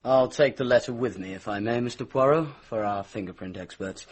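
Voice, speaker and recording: A man playing a brisk, official police inspector in a radio drama, British accent, formal and businesslike. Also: mid-range voice, breathy edge, close to an everyday voice. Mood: brisk, confident. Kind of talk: radio mystery drama acting.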